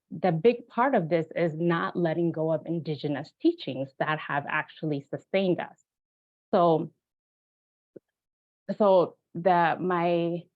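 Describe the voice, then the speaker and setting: A woman from Ethiopia giving a webinar talk as practitioner and researcher, earnest, with many fillers and restarts. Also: fairly low pitch. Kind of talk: presenting a talk. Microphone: video call. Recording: tinny phone-like video call audio.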